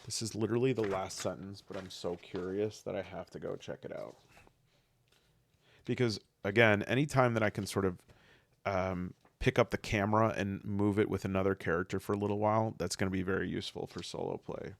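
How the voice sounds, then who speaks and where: Gravelly low-voiced man, sniffly, musing aloud. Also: steady tone, dry recording. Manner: thinking aloud, halting restarts, earnest. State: sniffly, getting a cold. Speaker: solo tabletop game player and podcaster. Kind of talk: casual rules review, reading aloud and commenting.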